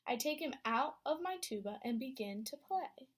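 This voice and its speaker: Young female student teacher, expressive picture-book reader, slightly high voice. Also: normal speed, slightly rough. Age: young adult. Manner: expressive storytelling with teacher questions. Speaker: student teacher reading aloud. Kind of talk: picture book read-aloud to a class.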